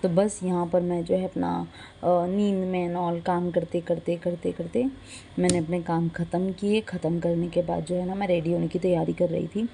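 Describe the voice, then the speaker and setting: A young woman from India narrating a casual daily vlog with a hoarse, gravelly voice, chatty and full of fillers. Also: fairly low pitch, level tone, moderate pace, dark tone, a little hiss or hum. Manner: chatty, mixing in English phrases, many fillers. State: hoarse, sore throat. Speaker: vlogger.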